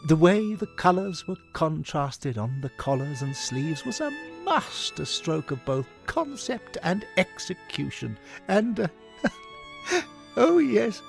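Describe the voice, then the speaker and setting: An effusive, elderly Victorian gentleman in an audio story. His pitch swoops up and down as he dithers fussily and sentimentally, full of old-chum endearments. Music plays behind him. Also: gravelly, full character voice. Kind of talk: dramatic audiobook monologue.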